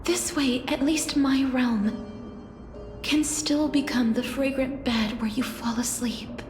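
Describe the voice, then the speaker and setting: A gentle demigod of death in a fantasy game. She is mournful and formal, speaking slowly and softly. Her voice echoes, with music playing behind her. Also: sits high, steady tone. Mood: pleading sorrow. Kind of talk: dramatic game voice acting. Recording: echoing voice over music.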